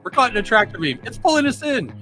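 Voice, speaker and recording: A man playing a cocky, gruff smuggler space pilot at an amateur table read. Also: extremely high pitch, big pitch swings, quick pace, sharp tone, soft background music, full character voice. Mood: defiant.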